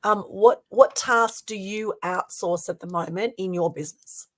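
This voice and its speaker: A woman hosting a live-streamed interview over a video call, her voice scratchy at the moment as she asks measured follow-up questions. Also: medium pitch. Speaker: host.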